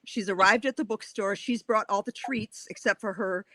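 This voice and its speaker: A woman's voice: mid-range voice, moderate pace, bright, forceful tone, no room sound, rough recording. A woman in her sixties from Wisconsin, with a Wisconsin accent, chatting enthusiastically as an author guest at a bookstore talk. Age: 60s. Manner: chatty, enthusiastic, laughs often.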